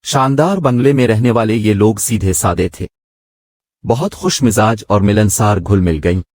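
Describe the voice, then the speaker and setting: Male story narrator, low and husky register, scripted moral-tale reading, very dry sound. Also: normal speed, a little mellow, no room sound.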